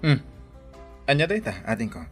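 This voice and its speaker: A man playing a protective, concerned older brother in an audio drama, with a husky voice. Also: mid-range voice, very animated, moderate pace, a bit bright, faint music behind.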